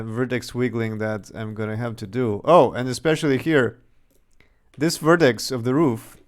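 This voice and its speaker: Slow, smooth-voiced man with a Serbian accent. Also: medium pitch. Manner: rambling, chuckling, thinking aloud. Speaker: game developer streaming his work. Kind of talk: casual livestream commentary.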